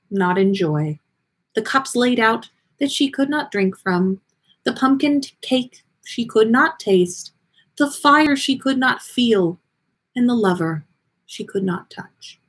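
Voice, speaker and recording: A woman hosting a story livestream, reading aloud and chatting in an expressive, effusive way. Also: fairly high pitch, breathy edge. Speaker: podcast host reading listener stories.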